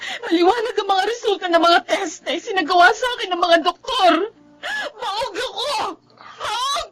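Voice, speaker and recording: A woman's voice: very high-pitched, moderate pace, over music. An actress playing a distraught, anguished wife in a radio drama, tearful and pleading as she cries. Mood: hysterical anguish. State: crying. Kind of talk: Filipino radio drama acting.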